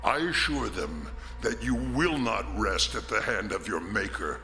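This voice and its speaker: A stern man of authority, as an actor plays him, hands down orders and condemnation. His voice is sharp and unhurried, with menace in it. Dramatic prison-film delivery, faint music behind. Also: lowish voice, lively intonation. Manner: forceful, menacing, formal delivery. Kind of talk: dramatic scripted film acting.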